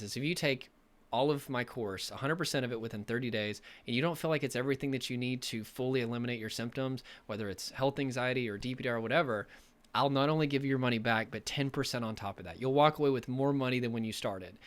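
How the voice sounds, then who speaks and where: Hoarse man, young adult, recovery coach, earnest, rambling, mid-pitched. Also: a bit bright. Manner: earnest, rambling, many fillers and restarts. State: losing his voice, a bit sick. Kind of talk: casual direct-to-camera talk.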